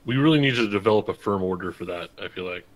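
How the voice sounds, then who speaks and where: A man's voice: on the low side, animated, fast. A man playing in a tabletop game, introducing himself in a dry, joking way over an online call. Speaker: player. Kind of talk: casual chat in a tabletop game.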